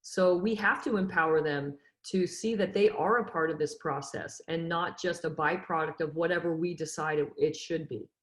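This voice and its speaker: Earnest low-voiced woman on a video call. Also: normal speed, hardly any pauses, fairly bright tone, echoey room. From Northern California. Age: 50s. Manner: earnest, motivational, frequent fillers and restarts. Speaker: football coach, webinar panelist. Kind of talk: casual panel discussion.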